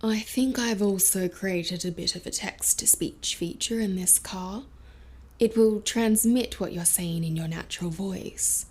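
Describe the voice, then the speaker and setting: Friendly tinkerer, medium pitch. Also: female voice, unhurried, a little mellow, slightly rough. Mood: friendly, excited. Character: friendly, enthusiastic adult female workshop tinkerer. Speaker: actor in an audio drama. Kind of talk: audio drama dialogue.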